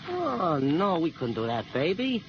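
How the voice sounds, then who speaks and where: A man's voice: on the high side, wildly swooping pitch, measured pace. Noisy, muffled vintage radio sound, and in it a sneering young male gangster. His delivery swoops around, nervous and menacing, full of taunting tough-guy slang. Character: nervous, sneering twenty-one-year-old gunman, menacing and impulsive. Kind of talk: old-time radio drama acting.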